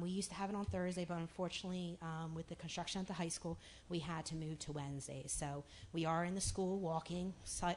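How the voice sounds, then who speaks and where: A woman with a raspy voice addressing a city commission meeting in a chatty way, with many fillers and restarts. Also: fairly low pitch, a little mellow, a little hiss or hum.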